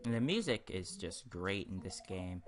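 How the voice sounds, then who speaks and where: A man's voice: sits low, very animated, normal speed. A man giving casual let's play commentary on a video game, in a hesitant, rambling, stop-and-start way. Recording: game music behind the voice. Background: video game music.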